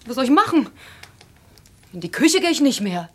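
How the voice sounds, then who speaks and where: An actress playing a frightened, stubborn housemaid in a radio crime play, blunt, agitated and defiant. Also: female voice, sits high, big pitch swings, moderate pace, fairly bright tone, exaggerated voice. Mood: anxious.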